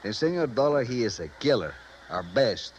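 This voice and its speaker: An actor playing a self-important, excitable police sergeant with a Mexican accent and theatrical broken English. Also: male voice, medium pitch, normal speed, lightly acted. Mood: grave, boastful. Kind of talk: 1950s radio drama acting. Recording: dull, noisy old radio recording.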